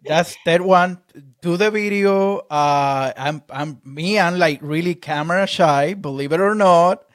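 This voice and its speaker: Halting man, higher voice. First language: Spanish. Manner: halting, repeats words. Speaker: podcast host. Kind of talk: casual interview chat.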